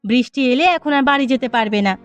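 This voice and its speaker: A woman plays a village mother in a cartoon fairy tale. Her voice is high, caring and practical. Light music runs beneath it. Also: fast talker, highs cut off, close to an everyday voice. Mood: caring, brisk.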